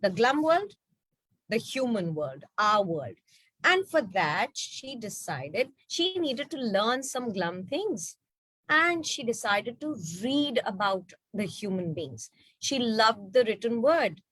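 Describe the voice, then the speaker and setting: A woman telling a story to children in an expressive, questioning way. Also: on the high side, slow pace, no echo, poor audio. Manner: expressive, asks rhetorical questions. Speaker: storyteller at an online children's book reading.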